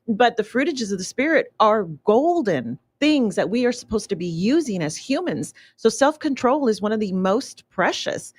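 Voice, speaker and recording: A woman's voice: a little high, big pitch swings, normal speed, few pauses, no room sound. Outspoken woman guest on talk radio. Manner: earnest, outspoken, preachy. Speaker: radio show guest, author and business owner. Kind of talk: casual radio interview. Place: radio studio.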